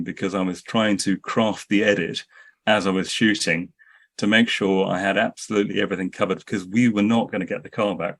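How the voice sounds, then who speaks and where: A man telling anecdotes as a filmmaker guest in a podcast interview, often restarting his sentences. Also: on the low side.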